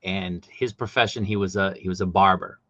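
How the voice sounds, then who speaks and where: A man's voice: low-pitched, steady tone, pushed and bright, no echo, lo-fi sound. A middle-aged man with a Boston accent, a guest toy collector chatting casually and telling jokey stories. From Boston, USA. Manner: jokey, chatty anecdotes with quick bursts. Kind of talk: casual chat, storytelling.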